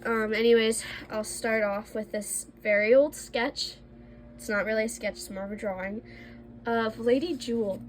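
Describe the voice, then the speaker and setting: A woman's voice: a little high, fairly even intonation, normal speed, a little hiss or hum. A young woman, a little congested from allergies, chatting casually and self-deprecatingly as she shows her old drawings. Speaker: art vlogger. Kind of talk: casual sketchbook tour.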